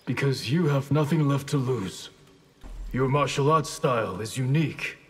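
An actor playing a stern, honorable ninja grandmaster in a video game, speaking in a terse, resolute, formal way. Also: male voice, medium pitch, expressive, moderate pace, slightly rough. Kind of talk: video game character acting.

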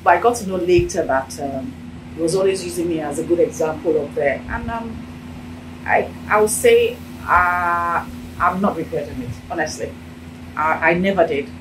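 A middle-aged Nigerian woman with a Nigerian accent speaks in a fairly low voice during an animated interview. She sounds a little distant from the phone held toward her. A steady hum fills the office around her. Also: normal speed. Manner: animated, gesturing while talking. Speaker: interviewee, business owner. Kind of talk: casual interview talk. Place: indoor office with sofa. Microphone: handheld phone held out by interviewer.

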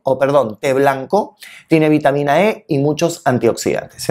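A man's voice: mid-range voice, darkish tone. A man in his early fifties with a Peruvian accent, chatting expressively and familiarly to viewers in a casual makeup review. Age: early 50s. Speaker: beauty vlogger and makeup reviewer. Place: indoor room, filmed seated in front of a plain wall. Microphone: lapel mic.

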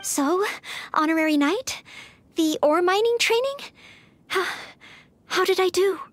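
A woman's voice: very high voice, expressive, measured pace, dry recording. A woman playing an earnest, eager and polite young maid training as a knight in a video game, with a sweet girlish voice. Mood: bashful, earnest.

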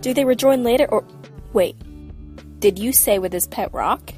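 A woman's voice: on the high side, moderate pace, a little mellow, soft background music, natural voice. Woman playing skeptical, bookish young student. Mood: skeptical, curious. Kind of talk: audio drama acting.

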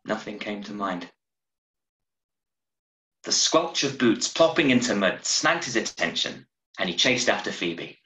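A man in his thirties giving a measured, expressive reading of a short story over a video call. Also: fairly low pitch. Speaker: story reader. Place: small room at home, on a video call. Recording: roomy, echoey video-call sound.

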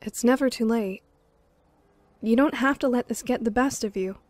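A woman's voice: a little high, normal speed, a little mellow, no room sound, close to an everyday voice. Dry-sounding, caring, insistent woman acting a campmate. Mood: earnest urging. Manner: soothing, earnest, firm. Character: calm, steady, caring campmate, gently insistent. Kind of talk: fan audio drama acting.

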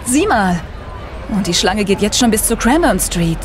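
A woman's voice: mid-range voice, big pitch swings, moderate pace, a crowd in the background, noisy background, natural voice. An actress playing a friendly, playful young girlfriend, talking casually in a German audio drama.

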